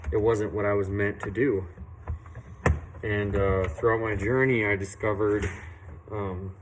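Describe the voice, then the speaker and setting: A young man from western Illinois who owns a tree service tells how he started, in an unhurried, earnest way with many fillers and restarts. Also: lowish voice, a little hiss or hum, rough recording. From western Illinois, USA. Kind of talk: casual personal story to camera. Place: outdoors at a work site, doing saw maintenance.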